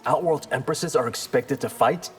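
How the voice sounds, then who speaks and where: A man's voice: mid-range voice, normal speed, soft background music, lightly acted. A man playing a wry, self-assured young crime fighter in a fighting game, dry and defiant, in cavernous reverb. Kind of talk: fighting game dialogue acting.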